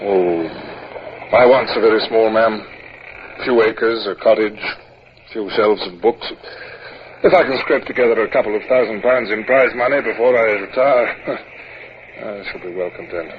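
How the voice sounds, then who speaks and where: An actor playing a reserved, courteous middle-aged naval captain, formal and a little hesitant, in an old radio drama. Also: male voice, on the low side, moderate pace, lightly acted. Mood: awkward, tender. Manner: formal, hesitant when speaking personally. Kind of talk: old-time radio drama acting and narration. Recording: muffled, noisy 1950s radio recording.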